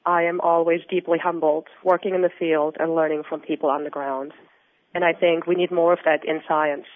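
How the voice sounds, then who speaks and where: A woman from Austria with an Austrian accent, a researcher answering interview questions in an earnest, explanatory, measured way. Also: deep voice, flat delivery. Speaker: researcher and guest. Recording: tinny phone-line audio.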